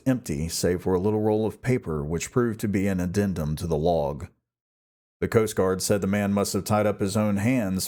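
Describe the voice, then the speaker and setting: A man narrates a classic novel as an audiobook reader. His voice sits fairly low, with a dark, mellow, relaxed tone.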